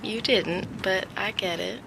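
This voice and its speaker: An actress playing a supportive, frank female friend in an audio drama, gentle but direct. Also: mid-range voice, a little slow, vocal fry, a little hiss or hum. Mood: concerned, gentle. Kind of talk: naturalistic audio drama acting.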